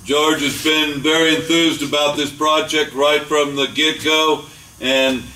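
A man's voice: a little high, steady tone, sharp tone, far from the mic. Older man in an echoey boat shop. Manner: plain, unhurried, with frequent uh fillers. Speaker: boat builder giving a build tour. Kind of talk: casual walkthrough tour. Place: large boatbuilding warehouse, indoors. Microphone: camera mic, speaker often several steps away. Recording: echoey warehouse, voice from off-mic, faint hum.

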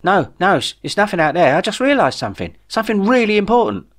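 A man voicing an excitable, grumpy young man with whiny, slangy speech in a comic sci-fi audiobook. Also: sits high, fast talker, voice pushed up. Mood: earnest, alarmed. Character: excitable, grumpy young human man who loves beer.

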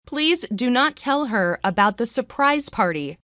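Woman, clear deliberate reading, lively rising and falling pitch, dry close sound. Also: dry recording. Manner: clear, deliberate, each phrase repeated three times. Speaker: dialogue reader for English learners. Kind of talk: slow scripted phrase repetition.

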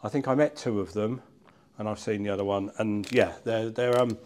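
A man's voice: fairly low pitch, fairly even intonation, normal speed, a little mellow. Middle-aged British male, hands-on car enthusiast presenting a vlog; informal, self-deprecating, chatty delivery. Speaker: car collector and restorer presenting a channel. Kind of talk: casual chat while working on a car. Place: large workshop barn, indoors.

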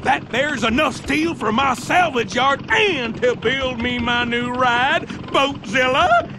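Wildly high, whooping salvage eccentric. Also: male voice, noisy background, heavily acted. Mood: whooping excitement. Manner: loud, drawn-out exclaiming. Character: brash, whooping male boat-and-salvage eccentric. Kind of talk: video game cutscene acting.

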